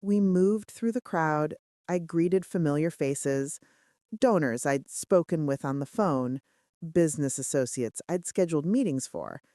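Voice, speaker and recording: A woman's voice: low voice, measured pace, mellow, no echo, clean studio sound. A woman reading scripted first-person romance fiction as an audiobook narrator, with dramatic, suspenseful delivery.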